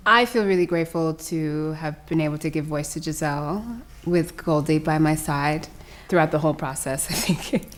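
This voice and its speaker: A woman's voice: deep voice, fairly even intonation, normal speed. A woman speaking as an actor guest, reflecting in an interview. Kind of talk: reflective post-show interview.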